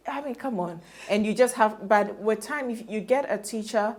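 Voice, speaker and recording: A woman's voice: medium pitch, normal speed. A woman hosting a TV interview, affirming and chatty with her guest.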